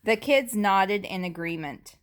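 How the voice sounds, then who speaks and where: A woman teacher reading a picture book aloud to young children, deliberately and expressively, with explanatory asides and questions. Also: mid-range voice, lively intonation. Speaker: elementary school teacher reading to her class.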